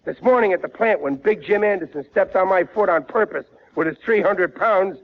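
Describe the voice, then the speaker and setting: A man's voice: high-pitched, fairly quick. An actor playing a good-natured, blustering middle-aged working man with folksy, ungrammatical speech in a dull vintage 1940s radio sitcom recording. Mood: preachy.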